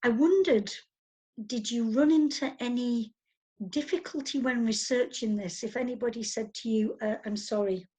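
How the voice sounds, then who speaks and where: A woman with a somewhat high, breathy voice interviews an author. Her questions ramble and loop, padded with fillers and repeated words. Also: normal speed, warm tone. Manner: rambling, with many fillers and backchannels. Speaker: interviewer host. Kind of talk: conversational interview.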